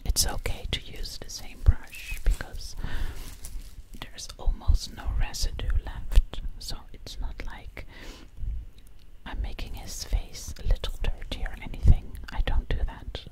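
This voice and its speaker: A woman hosting ASMR in a close, breathy whisper, soothingly repeating her phrases. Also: rough recording. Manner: slow, soothing repetition of phrases. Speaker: ASMR video host. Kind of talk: ASMR roleplay pampering. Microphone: close microphone.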